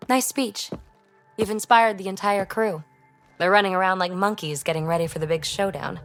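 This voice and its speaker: An actress playing a feisty, affectionate starship crewmate in a video game, with a playful, informal manner. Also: female voice, medium pitch, fairly even intonation, normal speed, soft background music, lightly acted. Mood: fond, teasing.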